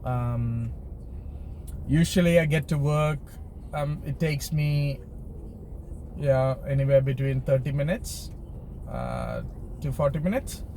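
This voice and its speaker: Road and engine noise fills a car interior as a man talks to his viewers. His delivery is slow and hesitant, with pauses and restarts, in a medium-pitched voice. It is a casual, unscripted driving vlog. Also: vocal fry, low-quality recording. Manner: halting and hesitant, trailing into pauses. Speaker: driving vlogger. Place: inside a car while driving. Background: steady car and traffic noise.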